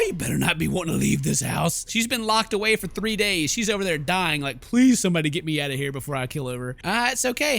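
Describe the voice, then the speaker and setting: A man hosting a game playthrough, mixing jokey commentary with theatrical read-aloud narration in an animated manner. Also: high voice, gravelly. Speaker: gaming video host.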